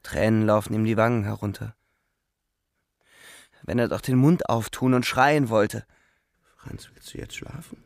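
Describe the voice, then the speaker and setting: A man's voice: lowish voice, measured pace, gravelly, pauses often, no room sound, clean studio sound. An actor playing a weary, grieving young German soldier narrating his war in a radio drama, subdued in manner.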